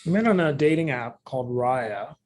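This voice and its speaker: Relaxed, chatty man in his thirties. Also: mid-range voice, moderate pace, slight background noise. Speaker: actor and co-writer. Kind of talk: interview guest.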